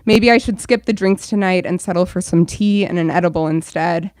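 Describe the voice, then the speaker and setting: A woman's voice: fairly low pitch, fairly quick, darkish tone, no room sound. A young woman, a bookstore events director, welcoming an audience and then reading her own fiction aloud at a store event.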